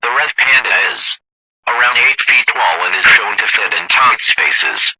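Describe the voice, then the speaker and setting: A man's voice: on the low side, expressive, a little slow, background noise. A man reading scripted emergency broadcast announcements in a formal, impersonal manner.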